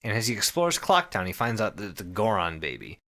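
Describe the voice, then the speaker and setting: A man with a bright, forceful voice retells a story as a podcast host. His delivery is casual and full of swearing, with pitch swinging widely as he goes. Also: brisk. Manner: profane, animated retelling. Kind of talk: casual storytelling recap with co-hosts.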